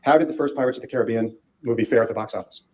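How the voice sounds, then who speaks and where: Quick formal male attorney questioning. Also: medium pitch, mellow. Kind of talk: courtroom questioning.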